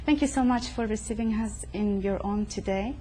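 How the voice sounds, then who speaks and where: A woman's voice: mid-range voice, moderate pace, dark tone, a little hiss or hum. A woman hosting an interview show, putting formal, welcoming questions to a guest at her home. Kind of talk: hosted interview.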